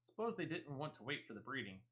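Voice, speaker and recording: A man's voice: mid-range voice, sing-song, quick pace, a bit bright. A man voicing a defensive, wheedling woman animal smuggler from a video game, in rustic speech with dropped letters. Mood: grumbling, defensive. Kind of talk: video game dialogue read aloud.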